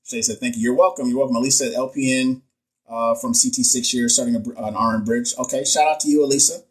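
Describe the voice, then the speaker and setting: A man's voice: mid-range voice, steady tone, quick pace, slightly rough. A man from Texas, a nurse educator hosting a casual live stream, joking in slang while he reads viewer comments and reviews quiz answers.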